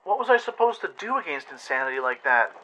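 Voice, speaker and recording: Man as a high, distraught pony heroine. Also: big pitch swings, quick pace, very noisy. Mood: overwhelmed. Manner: emotional, faltering. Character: shocked young female pony wasteland adventurer in turmoil.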